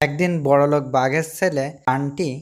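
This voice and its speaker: A man's voice: on the high side, steady tone, moderate pace. A man reading scripted fairy-tale narration as the storyteller of a cartoon.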